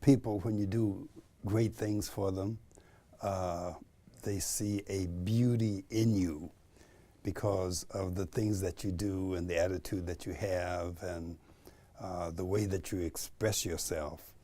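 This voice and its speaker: Slow, deep-voiced man in his seventies. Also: steady tone, mellow. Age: 70s. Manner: thoughtful, halting with fillers. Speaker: interviewee. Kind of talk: documentary interview.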